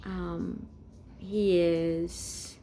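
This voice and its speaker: A woman in her forties from Brazil, speaking English with a Brazilian Portuguese accent and a halting, hesitant way of searching for words, in a rambling personal vlog recorded at home. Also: fairly low pitch, little pitch movement, dark tone, a little hiss or hum. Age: 40s. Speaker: vlogger. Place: home, indoors.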